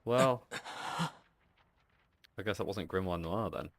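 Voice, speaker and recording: A man's voice: deep voice, takes it slowly. A man giving casual gameplay commentary as a streamer. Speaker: game streamer. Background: game music.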